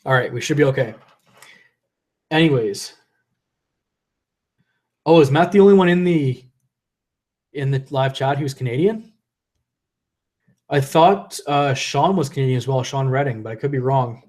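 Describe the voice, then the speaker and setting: A man's voice: mid-range voice, measured pace, long pauses, a little mellow. Male streamer, mid-voiced, loose and profane, slightly drunk, home desk mic. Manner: rambling, loose and profane, reading chat aloud. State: tipsy from drinking. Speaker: livestream host. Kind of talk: casual live stream chat. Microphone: desk USB condenser mic.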